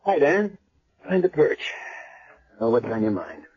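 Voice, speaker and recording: An actor playing a measured, thoughtful man doctor in a 1970s radio drama. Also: mid-range voice, huge pitch swings. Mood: calm. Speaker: hospital doctor. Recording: dull lo-fi vintage radio recording.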